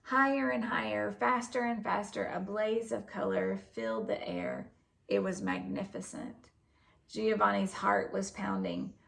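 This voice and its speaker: A woman reading a children's story aloud as an expressive storyteller. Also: mid-range voice, measured pace, vocal fry, a little hiss or hum. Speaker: storybook reader. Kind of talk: scripted narration, children's story read aloud.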